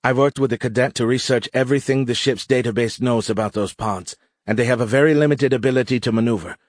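A man's voice: mid-range voice, fast talker, no echo, pitched above the performer's own voice, natural voice. A man voicing a matter-of-fact, forthright woman pilot in an audiobook, explaining things earnestly to a commander.

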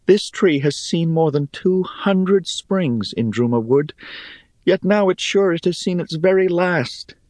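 Male narrator as young female friend in fantasy audiobook. Raised, lilting pitch, emotional, intense, sincere. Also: animated, a little slow, darkish tone, no room sound. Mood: sorrowful.

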